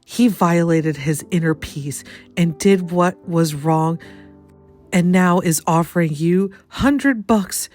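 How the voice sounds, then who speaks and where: A woman's voice: fairly low pitch, takes it slowly, a little mellow. A woman acts out a firm, plain-spoken policeman in a dramatic story reading. He sounds matter-of-fact and authoritative. Music plays underneath. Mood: stern mediating. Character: large, jolly male police officer, plain-spoken, firm.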